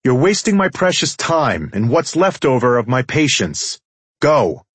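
A man's voice: on the low side, lively intonation, normal speed, breathy edge, dry recording. A man voicing an arrogant senator in an audiobook, cool, cutting and threatening. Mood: scornful. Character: arrogant, cold, commanding male senator.

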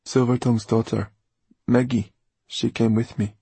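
A man as touchy teenage boy, storybook apprentice, defensive, raised husky voice, eager, hesitant. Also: medium pitch, little pitch movement, normal speed, mellow, no room sound, voice pushed up. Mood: earnest. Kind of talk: audiobook character dialogue.

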